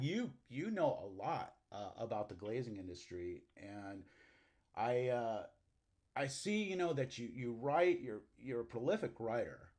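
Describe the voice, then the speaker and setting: Middle-aged man with a medium-pitched voice. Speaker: interviewer, host. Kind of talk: casual conversational interview.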